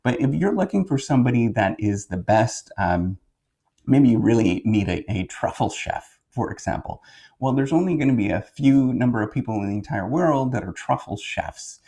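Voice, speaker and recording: A man with a Canadian accent giving a casual explainer monologue as a professor, in a halting, rambling way, correcting himself and checking in as he goes. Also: mid-range voice, animated, creaky, mellow, breathy edge. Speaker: professor and video host.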